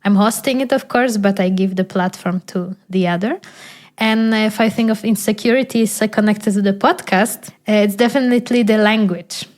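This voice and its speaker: A woman's voice: medium pitch, fairly even intonation, moderate pace, a little mellow. A young woman from Poland whose native language is Polish, hosting a podcast and talking thoughtfully, searching for words with many fillers. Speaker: podcast host. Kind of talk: casual conversation.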